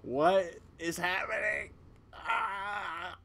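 A man voicing an excitable, reckless young male fox in a video game, loud and exclamatory. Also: high-pitched, very slow, vocal fry, heavily acted. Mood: excited. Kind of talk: amateur video game voice-over.

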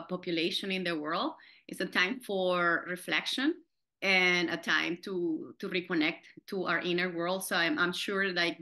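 A woman's voice: on the low side, sharp tone. A woman in her thirties from Venezuela with a Venezuelan Spanish accent, hosting a podcast over a video call, talking hesitantly with many fillers and restarts. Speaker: podcast host. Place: home room, indoors. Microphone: video call, webcam.

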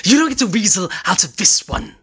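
An actor playing a mad invisible scientist in a horror audio drama, gloating, taunting and grandiose. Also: male voice, high-pitched, very animated, a little fast, pushed and bright, slightly rough, exaggerated voice. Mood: gloating, menacing. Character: mad invisible male scientist turned gloating, menacing predator. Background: music.

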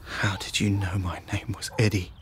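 A man's voice: lowish voice, level tone, normal speed, slight background noise, lightly acted. An actor playing a nervous, eager young door-to-door salesman in an audio sci-fi comedy, chirpy and rambling.

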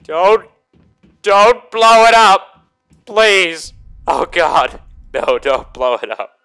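A man's voice: high voice, sing-song, extremely slow, pushed and bright, clear, resonant tone. A young man giving casual, crude and exclamatory commentary while playing a video game. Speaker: gaming video host. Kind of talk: casual let's play commentary.

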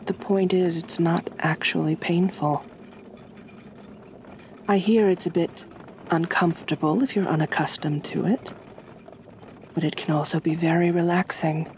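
Slow, soothing vampire mistress, played by a woman. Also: on the low side, slight background noise. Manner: soft-spoken, soothing, measured, formal. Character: gentle, possessive aristocratic female vampire mistress. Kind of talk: ASMR roleplay.

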